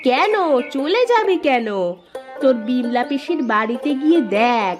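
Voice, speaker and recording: Woman as a motherly village aunt, high-pitched, teasing, cartoon, music bed. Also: big pitch swings, a little slow. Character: kindly middle-aged village aunt, Malati. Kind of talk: cartoon voice acting.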